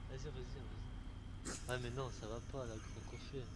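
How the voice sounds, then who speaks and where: A man's voice: mid-range voice, fairly even intonation, a little fast. A man chatting casually in slang during an online game, over very noisy voice chat. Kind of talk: casual gaming chat, planning a prank.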